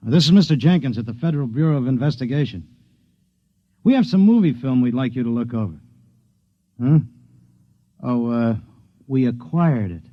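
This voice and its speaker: A businesslike federal agent , played by a man, talks in a clipped, even, mid-pitched voice. Dry studio sound through the dull lo-fi band of old radio. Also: no echo, natural voice. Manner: firm, clipped, matter-of-fact. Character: businesslike male FBI agent. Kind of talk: 1950s radio drama acting.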